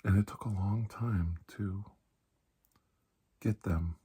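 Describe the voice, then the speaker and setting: A man acting as a calm doctor in an ASMR roleplay, with a very breathy, husky voice that sits low. He soothes his patient in slow, halting phrases, often dropping close to a whisper. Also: exaggerated voice. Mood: solemn, hushed. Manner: measured, halting, soothing delivery. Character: calm, reassuring male doctor treating a patient. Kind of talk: ASMR roleplay, hushed storytelling.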